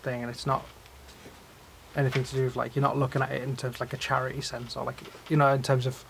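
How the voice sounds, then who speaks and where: A man's voice: medium pitch, monotone, fast, gravelly, a bit bright. A man talking as a teacher giving informal feedback, with rambling, tentative phrasing. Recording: noisy room recording.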